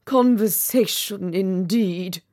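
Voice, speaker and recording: A woman's voice: mid-range voice, takes it slowly, darkish tone, natural voice. A woman voicing a vain, pompous male rocket in a storybook, haughty and easily offended. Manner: pompous, haughty, self-important. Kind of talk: storybook character voice.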